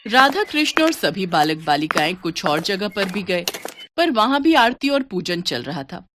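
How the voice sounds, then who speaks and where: A woman's voice: mid-range voice, big pitch swings, unhurried, noisy background. A woman storyteller reading scripted devotional story narration.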